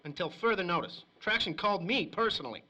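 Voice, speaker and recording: High-pitched, shifty radio snitch. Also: male voice, animated, fast talker, bright, forceful tone, exaggerated voice. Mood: reluctant confiding. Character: nervous, shifty male small-time crook turned snitch. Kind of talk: 1930s old-time radio crime drama acting.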